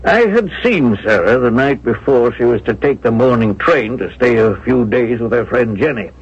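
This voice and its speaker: Grieving, bewildered male storyteller, medium pitch, husky, earnest, old muffled radio-play sound. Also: expressive, moderate pace. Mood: confiding. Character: grieving, bewildered Massachusetts man recalling his lost love. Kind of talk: 1970s radio drama acting. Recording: muffled vintage radio broadcast.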